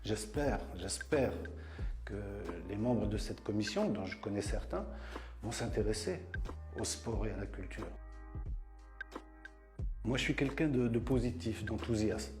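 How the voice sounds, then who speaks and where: A man's voice: lowish voice, unhurried, pauses often. A middle-aged man born and raised in France who leads a community association, talking in an interview monologue and repeating words for emphasis. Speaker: association leader. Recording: echoey room with music.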